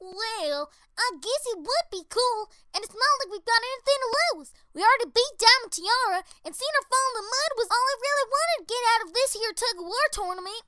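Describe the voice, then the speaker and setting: Little country pony girl: tiny, very high, bright voice, feisty and folksy, for a cartoon storybook. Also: lively intonation, a little fast, hardly any pauses, dry recording, studio quality, heavily acted. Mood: grudgingly agreeing.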